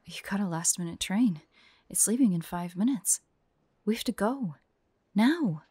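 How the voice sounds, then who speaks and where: A woman playing an anxious, forlorn young female hunter in an intimate audio roleplay, soft and breathy, often dropping to whispers. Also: mid-range voice, animated, a little slow, no echo.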